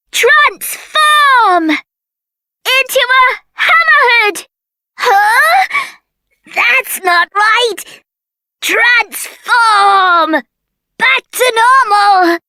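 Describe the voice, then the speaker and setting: Casting: unsure, keen small child for a game, very high, sharp and bright. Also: female voice, no echo, studio quality, heavily acted. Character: eager, unsure young child learning magic. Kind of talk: video game character acting.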